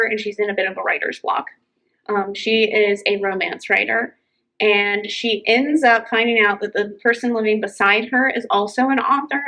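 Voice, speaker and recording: A woman's voice: mid-range voice, sharp tone, poor audio. A woman hosting a book chat, talking informally and enthusiastically as she gives recommendations. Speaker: book show host.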